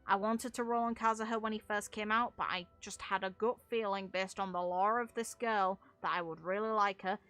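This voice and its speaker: A woman's voice: medium pitch, steady tone, moderate pace, few pauses, fairly bright tone, soft background music. A young woman giving casual, opinionated game commentary in a blunt way, swearing freely. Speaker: gaming content creator.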